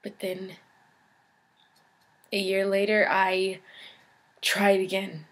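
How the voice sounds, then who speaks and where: A woman performing a dramatic monologue as a despairing young woman, speaking in a halting, emotional confession. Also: medium pitch, completely flat delivery. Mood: hushed, haunted. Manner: halting, emotional, swinging from hushed to anguished. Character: despairing suicidal young woman baring her pain in confession.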